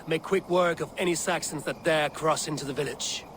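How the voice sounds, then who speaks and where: An actor playing a fierce, vengeful Norse war leader in a video game, commanding and solemn. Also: male voice, a little high, fairly even intonation, normal speed, fairly bright tone, a little hiss or hum, natural voice. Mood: grim, resolute. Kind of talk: dramatic video game acting.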